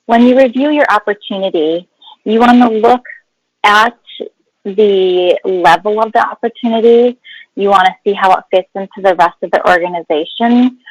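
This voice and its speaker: A woman's voice: medium pitch, no echo, poor audio. Woman presenting career advice, very dry sound. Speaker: guest HR expert. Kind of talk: explanatory presentation in a webinar.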